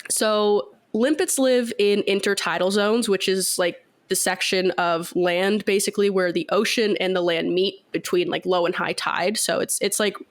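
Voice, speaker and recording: A woman's voice: mid-range voice, steady tone, moderate pace, hardly any pauses. A woman with a cold sounding congested, chatting on a podcast as she explains a science fact with lots of fillers. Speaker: podcast co-host.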